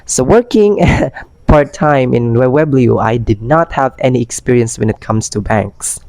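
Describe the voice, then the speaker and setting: Young man, Filipino accent, hoarse with a cold, casual vlog talk, mixes in Tagalog, dry close sound. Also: mid-range voice, measured pace, darkish tone, dry recording. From Philippines. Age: young adult. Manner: chatty, switching between English and Tagalog with fillers. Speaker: vlogger and part-time ESL tutor.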